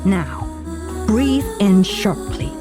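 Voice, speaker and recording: A woman's voice: medium pitch, animated, drawn-out words, over loud music, voice pushed up. A woman narrator voicing a bossy, caring young woman friend in an audiobook drama, with a commanding, teasing manner. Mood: calm, commanding.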